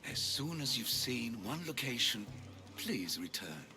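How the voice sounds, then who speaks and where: An actor playing a dreamy, lyrical storyteller in a video game, savouring grand words. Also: male voice, mid-range voice, big pitch swings, unhurried. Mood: knowing. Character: poetic male storyteller, dreamy and lofty. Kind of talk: video game cutscene acting. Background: loud music.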